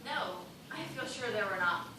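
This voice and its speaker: A woman's voice: medium pitch, lively intonation, moderate pace, natural voice. A woman on stage playing a fearful, despairing dancer shipwrecked on a raft, with plaintive theatrical delivery. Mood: uneasy. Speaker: stage actor. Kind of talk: stage play dramatic acting. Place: theatre stage, recorded from afar. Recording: very echoey stage, distant mic, loud noise.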